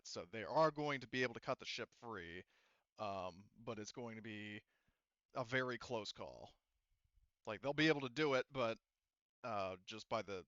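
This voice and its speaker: A man's voice: lowish voice, fairly bright tone, smooth, no echo, highs cut off. A man acting as game master, explaining the tabletop game situation to players and often rephrasing himself as he goes.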